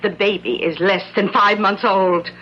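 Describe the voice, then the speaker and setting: An actress playing a worried, insistent aunt in an old radio drama, speaking earnestly and properly. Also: female voice, mid-range voice, fairly even intonation, a little slow, natural voice. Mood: anxious insistence. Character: worried, insistent aunt searching for missing niece. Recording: muffled, noisy vintage radio broadcast.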